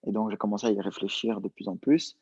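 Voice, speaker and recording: A young man answering interview questions casually, relaxed and hesitant with many fillers. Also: lowish voice, quick pace, breathy edge, dry recording. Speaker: entrepreneur guest. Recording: dull lo-fi sound.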